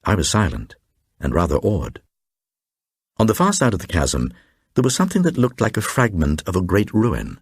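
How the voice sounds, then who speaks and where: A very deep male voice, playing a grave traveller recounting an eerie radio tale. The delivery is deliberate and suspenseful, swinging widely in pitch, with a distinctly husky grain. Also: wildly swooping pitch, dry recording, clean studio sound, heavily acted. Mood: uneasy, awed. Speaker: narrator. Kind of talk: dramatised first-person reading of a supernatural horror tale for radio.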